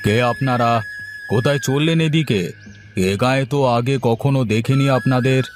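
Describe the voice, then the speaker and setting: A man's voice: mid-range voice, unhurried, over music, natural voice. Man playing a kindly, emotive older village householder. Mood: hearty. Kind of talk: cartoon fairy-tale acting.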